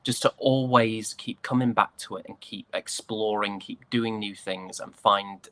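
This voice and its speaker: A man's voice: on the low side, fairly even intonation, unhurried, a bit bright. A British man chatting casually as an interview guest, an actor with a joking, self-deprecating way of talking. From United Kingdom.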